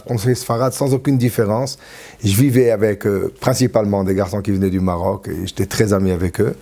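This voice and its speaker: A man in his sixties from France, a rabbi recalling his life in an interview, halting often with fillers and restarts. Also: on the low side, mellow. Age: 60s. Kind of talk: reminiscing interview.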